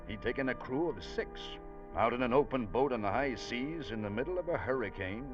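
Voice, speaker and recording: Casting: male actor as earnest ship's officer and storyteller, mid-pitched, vintage radio drama, muffled recording with prominent music. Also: natural voice. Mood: reflective. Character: earnest ship's officer recounting a harrowing sea rescue. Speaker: first-person narrator.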